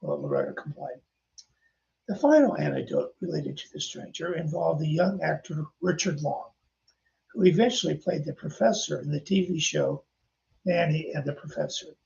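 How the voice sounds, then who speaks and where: A man's voice: fairly high pitch, normal speed, vocal fry, a little mellow, muffled recording, slight background noise. An older man hosting a podcast, telling chatty anecdotes and speaking directly to his listeners. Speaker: podcast host and author. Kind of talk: casual storytelling narration.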